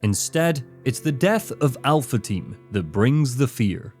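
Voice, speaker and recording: Animated man narrating a video essay over music. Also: medium pitch, measured pace. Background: background music, guitar.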